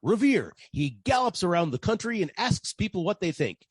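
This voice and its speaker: A man's voice: sits high, sing-song, fairly quick, slightly rough, no room sound, full character voice. A man playing a brash ad agency boss in a comic radio play, with theatrical salesman's patter. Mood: eager pitching.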